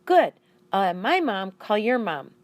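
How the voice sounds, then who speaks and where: A woman's voice: mid-range voice, big pitch swings, takes it slowly, no echo, voice pushed up. A woman voicing a friendly, eager young schoolgirl in a children's storybook. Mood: pleased.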